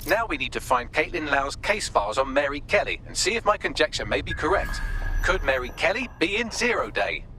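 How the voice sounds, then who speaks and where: A man's voice: fairly high pitch, big pitch swings, a little fast, pushed and bright, slight background noise. A man playing a wry, precise and formal AI assistant in a video game. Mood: wry, chipper. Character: dry, wry, chipper male AI assistant. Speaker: AI assistant character.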